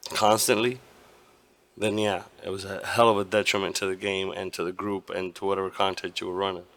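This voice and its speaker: A hoarse man hosting a gaming channel, giving his opinion casually, rambling and restarting often and swearing now and then. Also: fairly low pitch, a bit bright, dry recording. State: hoarse, partly lost voice.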